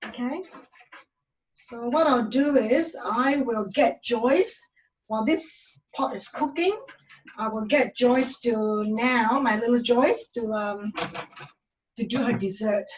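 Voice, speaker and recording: Halting woman, slightly high voice. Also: slight background noise, low-quality recording. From Malaysia. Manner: halting, filler-heavy, many restarts. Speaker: cooking demonstrator. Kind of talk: casual cooking demonstration.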